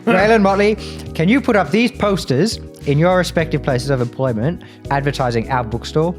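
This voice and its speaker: A man's voice: on the high side, normal speed, music in the background. A man playing a tabletop game, improvising in character in casual, short, punchy phrases.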